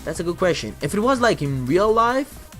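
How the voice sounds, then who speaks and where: A man's voice: high voice, very animated, normal speed, a bit bright, soft background music. A young man from Italy with an Italian accent, answering viewer questions in a casual vlog, rambling and swearing often, with many restarts. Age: young adult. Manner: rambling, profane, frequent restarts. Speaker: YouTuber and streamer.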